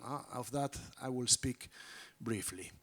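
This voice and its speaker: A man from Spain with a Spanish accent giving formal, measured remarks on a conference panel. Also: medium pitch, fairly bright tone. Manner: measured, formal, some fillers. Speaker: panelist, politician.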